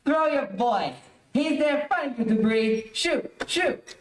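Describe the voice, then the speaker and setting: An actor playing a vengeful, cold older convict, accusing and theatrical, in old-time radio melodrama. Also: male voice, very high voice, animated, takes it slowly, full character voice. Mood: angry. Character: vengeful gray-bearded escaped convict, cold and menacing. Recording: very echoey room, distant mic.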